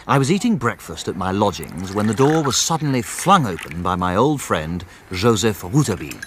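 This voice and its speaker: A formal, slightly pompous barrister in a radio drama, dry and easily exasperated. His delivery swings widely in pitch, with faint background noise and ambience around him. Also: sing-song, fairly quick, fairly bright tone. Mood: measured storytelling. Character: Sinclair, a stuffy, dryly exasperated barrister and narrator. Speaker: narrator and actor. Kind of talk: radio drama narration and dialogue.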